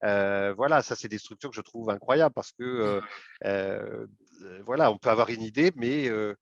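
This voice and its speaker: A man with a lowish voice talks off the cuff as a webinar guest, stopping and restarting often and filling gaps with hesitations. The sound is dry and slightly dull, like a video call. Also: vocal fry, no echo. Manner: halting, many fillers and restarts. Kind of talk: hesitant spontaneous talk.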